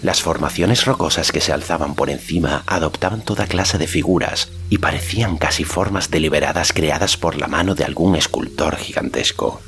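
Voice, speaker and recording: Very deep-voiced male audiobook narrator. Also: normal speed, few pauses, fairly bright tone, a little hiss or hum. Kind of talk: scripted narration of a fantasy novel.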